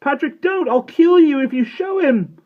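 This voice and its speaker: A man's voice: extremely high pitch, lively intonation, moderate pace, voice pushed up. A man voicing a desperate, panicked schoolboy who screams through clenched teeth, in a storybook reading. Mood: desperate shouting. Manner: screaming, choked shouts. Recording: tinny phone-like sound.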